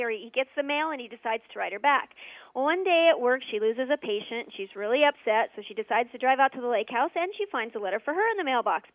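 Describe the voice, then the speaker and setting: A woman recaps a movie plot over a tinny phone line in a chatty, easygoing way. Her voice is high and sounds dry and close. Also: no room sound. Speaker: movie reviewer calling in. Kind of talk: casual plot summary.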